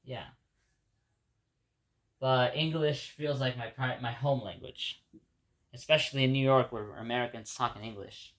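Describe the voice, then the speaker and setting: A man from Ecuador whose first language is Spanish talks through his day in a loose, rambling video diary. He repeats words and restarts his sentences as he goes. His voice sits at an ordinary middle pitch for a man. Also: steady tone, unhurried, pauses often, fairly bright tone. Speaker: vlogger. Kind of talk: casual spoken reflection to viewers.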